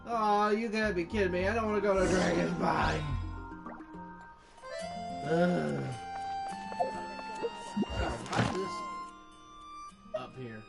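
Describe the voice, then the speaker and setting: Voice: mid-range voice, fairly even intonation, takes it slowly, gravelly, long pauses. A gamer giving casual commentary while playing a video game. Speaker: gamer, channel host. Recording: loud game music behind voice.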